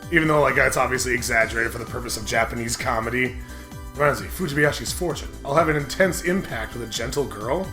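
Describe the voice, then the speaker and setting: A man's voice: medium pitch, fast talker. A man hosting a gaming show, giving casual, joking let's-play commentary with exclamations, swearing and mock drama. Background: video game music.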